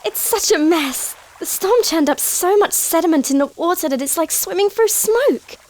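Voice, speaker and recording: A mischievous young sea pony mare played by a woman from a storybook romance. She is girlish and playful, with an extremely high, graceful voice. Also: lively intonation, normal speed, a little mellow. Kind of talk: dramatic reading of a fan story.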